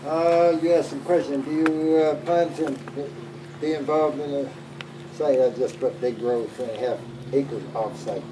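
A man's voice: fairly high pitch, normal speed. An older man with an American accent chatting casually in a matter-of-fact way. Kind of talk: casual chat. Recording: off-mic, distant, with steady ambient noise.

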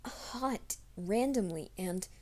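A woman voicing a gentle, nervous young male werewolf in an ASMR roleplay, speaking softly and often in whispers. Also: medium pitch, expressive, takes it slowly. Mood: soft reassuring. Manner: soft, hushed, often whispering.

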